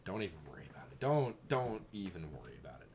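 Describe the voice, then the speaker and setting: A young man vlogging in casual chat, rambling with exasperated asides, his voice going a bit hoarse. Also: low voice, sing-song, fast, a little mellow, a little hiss or hum.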